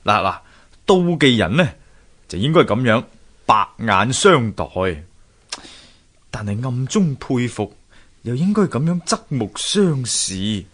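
An actor playing an earnest, thoughtful sculptor husband in a Hong Kong Cantonese radio drama, musing aloud theatrically. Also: male voice, mid-range voice, sing-song, slow pace, long pauses, fairly bright tone, husky, full character voice. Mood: animated, pleased.

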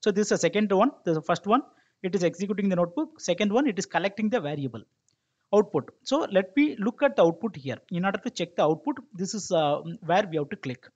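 A man narrating a software tutorial step by step as an instructor, explaining and checking that listeners follow, in a lo-fi recording. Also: high-pitched, animated, fast talker. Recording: dull lo-fi microphone sound.

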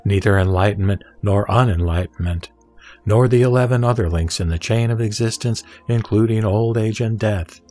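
A man reciting a sutra as a solemn scripted reading, in measured, deliberate phrases with pauses. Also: lowish voice, warm tone. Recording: background music under the voice. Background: music.

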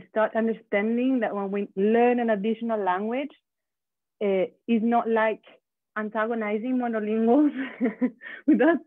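A woman's voice: mid-range voice, no echo. A woman commenting on a video call, earnest, with frequent fillers and restarts. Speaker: webinar attendee. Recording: dry, phone-like video call audio.

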